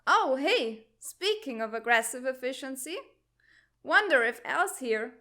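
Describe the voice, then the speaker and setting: Someone voicing a bright, high-pitched earnest teenage boy. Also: lively intonation, unhurried, heavily acted. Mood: cheeky, animated. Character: earnest, frustrated 16-year-old military academy boy. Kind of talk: animated comic fan dub.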